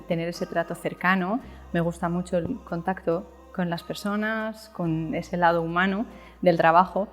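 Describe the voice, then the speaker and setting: A thirty-year-old woman from Spain with a Spanish accent, chatting casually about her experience as an interview guest. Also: fairly low pitch. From Valencia, Spain. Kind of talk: casual chat, explaining personal experience. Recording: echoey room with long reverb.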